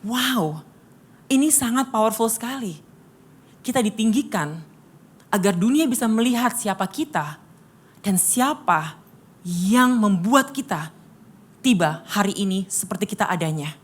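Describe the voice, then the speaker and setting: A woman from Bali with a lightly Balinese-tinged Indonesian accent, giving a sermon-style workshop talk as a lively storyteller who speaks directly to her audience. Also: medium pitch, unhurried, long pauses, breathy edge.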